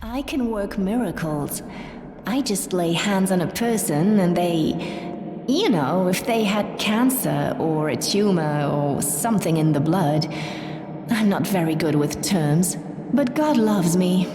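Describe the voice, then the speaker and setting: An actress playing a naive, devout girl faith healer, speaking plainly and haltingly in a video game. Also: on the low side, animated, warm tone. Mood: naive, proud. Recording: echoing hall, distant, faint noise.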